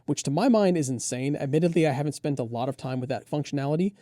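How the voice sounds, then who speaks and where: A man's voice: fairly high pitch, fast talker, dark tone, no room sound, clean studio sound. A man co-hosting a gear review, enthusiastically and conversationally running through detailed product specs. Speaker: audio gear reviewer and co-host.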